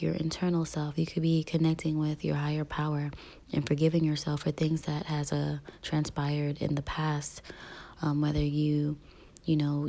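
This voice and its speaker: A woman with a low, gravelly voice talks through a casual tarot reading. She speaks softly, close to a whisper at times, with plenty of ums, and her tone stays level and mellow. A touch of morning roughness from allergies sits in her throat. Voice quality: raspy. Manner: soft, breathy half-whispered delivery with frequent ums. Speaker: tarot reader.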